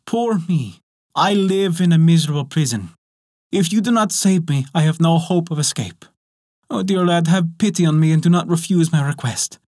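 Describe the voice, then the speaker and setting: A man doing a bewitched young princess from a storybook, begging for rescue in a sweet, high voice. She sounds close and dry, with a lifted, brighter tone. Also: expressive, normal speed, no room sound. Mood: pleading. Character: enchanted young princess, pleading and sorrowful.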